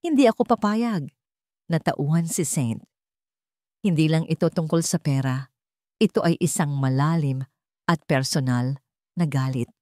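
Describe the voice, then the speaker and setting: A woman narrates a scripted romantic drama as a storyteller. Her voice sits a little low. The recording is dry, with almost no room sound. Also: steady tone, a little slow, pauses often, a little mellow, dry recording.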